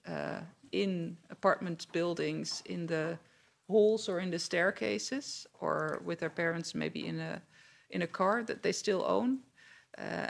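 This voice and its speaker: A woman from the Netherlands with a Dutch accent, moderating a public panel discussion in halting, hesitant phrases. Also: deep voice, vocal fry.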